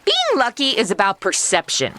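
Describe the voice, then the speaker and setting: A woman's voice: fairly high pitch, huge pitch swings, moderate pace, sharp tone, no room sound, exaggerated voice. An actress playing a sassy, outspoken modern woman, sarcastic and indignant, in a comedy audio drama. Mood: flippant.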